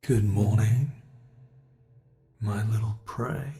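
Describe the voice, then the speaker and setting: An ancient male monster, acted by a man speaks very slowly, as a smug captor toying with prey. The delivery is theatrical and formal, teasing yet possessive, in the manner of an ASMR roleplay. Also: fairly low pitch, fairly even intonation, creaky, mellow. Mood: teasing, sly. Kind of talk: ASMR roleplay, scripted monologue.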